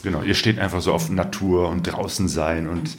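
A man's voice: low voice, fairly even intonation, moderate pace. A man hosting a casual conversation, asking questions and briefly clarifying what his guests say. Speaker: podcast host, interviewer.

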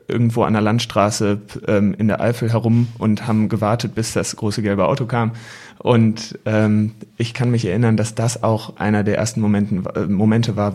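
A man's voice: fairly low pitch, a little mellow. A young man from Germany telling memories as a podcast guest, casual and reflective, with hesitant phrasing. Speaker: podcast guest recalling family travels. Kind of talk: casual storytelling.

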